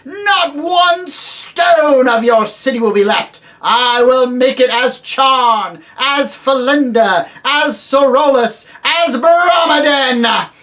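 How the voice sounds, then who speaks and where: A woman's voice: high-pitched, voice pushed up. A woman doing a fierce, ringing witch queen. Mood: furious. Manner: loud ringing threats, slow and commanding.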